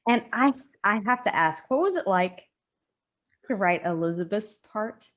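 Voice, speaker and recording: A woman's voice: mid-range voice, lively intonation, a little slow. A woman hosting an interview, upbeat and enthusiastic as she asks questions and reacts. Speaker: interview host. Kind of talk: casual interview chat.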